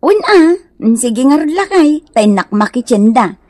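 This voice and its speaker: A woman's voice: sits high, big pitch swings, unhurried, darkish tone, no room sound, clean studio sound, full character voice. A woman playing an agreeable, cheerful and hospitable wife in a radio comedy drama, with a homely manner.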